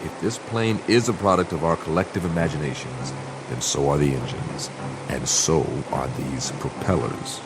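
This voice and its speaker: A man playing a self-assured aviation investigator in a radio drama, speaking deliberately and theatrically with probing pauses and rhetorical questions. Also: extremely low pitch, fairly even intonation, background noise. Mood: intense, persuasive. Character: self-assured male aviation investigator chasing an uncanny theory. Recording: music behind the voice.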